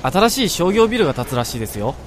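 Animated, higher-voiced office colleague played by a man. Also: very fast, slight background noise. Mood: chatty, teasing. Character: concerned, teasing male office colleague. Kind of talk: radio drama acting.

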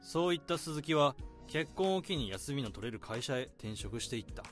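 An actor narrating as a weary, overworked male office worker in a radio drama, reasoning things out in a measured way. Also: medium pitch, lively intonation, soft background music, lightly acted.

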